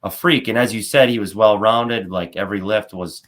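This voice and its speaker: A man hosting a podcast, talking in a relaxed, conversational way and asking his guest questions. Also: lowish voice, normal speed. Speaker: podcast host. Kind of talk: casual podcast interview.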